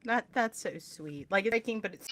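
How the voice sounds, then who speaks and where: A woman hosting a podcast in a casual interview style, informal and a little halting, with joking asides. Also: mid-range voice, animated, fairly bright tone. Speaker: podcast host.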